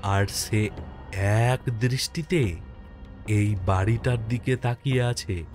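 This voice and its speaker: A man playing an easygoing village postmaster who narrates his own ghost story in an expressive, dramatic way for a horror cartoon. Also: fairly low pitch, slow. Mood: uneasy, suspenseful. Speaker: first-person narrator and lead character. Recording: music under the voice.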